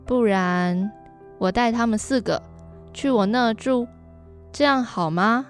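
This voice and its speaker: A woman's voice: fairly high pitch, slow, faint music behind. A woman acting a caring, dutiful aunt in a radio drama, earnest and persuading. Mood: concerned, persuading. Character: concerned, caring adult aunt, sighing but dutiful.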